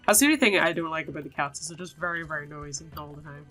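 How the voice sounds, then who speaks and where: A woman's voice: deep voice, quick pace. A woman doing casual game commentary, chatty and talking to herself as she plays. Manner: chatty, talks to herself and her virtual animals. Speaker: gaming video host. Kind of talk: casual game commentary, reading dialogue aloud. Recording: voice over game music. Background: game music.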